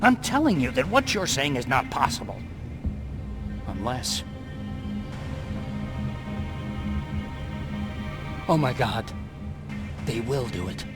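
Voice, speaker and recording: An actor playing a brainy, earnest resistance tech expert in a video game, explaining technical things excitably. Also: male voice, medium pitch, a little slow, loud music behind the voice, lightly acted. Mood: disbelieving, alarmed.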